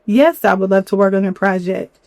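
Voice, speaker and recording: A young woman hosting a solo podcast, a little hoarse from a cold, talking candidly with lots of "you know". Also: mid-range voice, fairly quick, a little mellow, no room sound. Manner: candid, chatty, many fillers and "you know". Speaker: podcast host. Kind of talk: reflective solo podcast talk.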